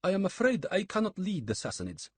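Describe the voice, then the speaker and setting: A man's voice: high voice, wildly swooping pitch, fast talker, slightly rough, no echo, voice pushed up, heavily acted. A man voicing a pious, evasive male Persian priest in a raised, sing-song voice.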